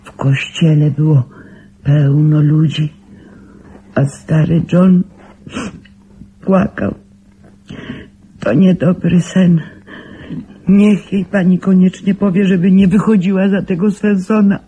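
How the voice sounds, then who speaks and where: An actress in a radio drama playing a troubled, foreboding dreamer with a husky voice. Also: female voice, fairly low pitch, level tone, slow, long pauses, mellow, full character voice, low-quality recording. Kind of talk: slow radio drama monologue.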